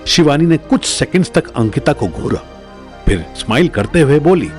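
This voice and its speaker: A man's voice: fairly high pitch, very animated, moderate pace, faint music behind. A man narrating a scripted audio drama as a storyteller, in a dramatic style.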